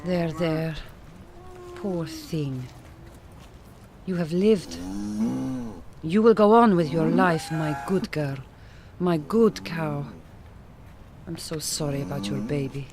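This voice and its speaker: A woman playing a grieving, frank middle-aged Hungarian vet in a radio drama, with a Hungarian accent and deliberate, formal phrasing. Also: deep voice, background noise. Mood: tender, sorrowful.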